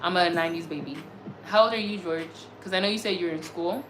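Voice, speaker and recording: A woman in her early thirties hosts a casual livestream, her voice a little low for a woman. She answers comments earnestly and effusively, often circling back to repeat a point. The room sounds echoey, and music plays clearly behind her. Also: fast talker, fairly bright tone. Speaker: livestream host. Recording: roomy echo, music behind the voice.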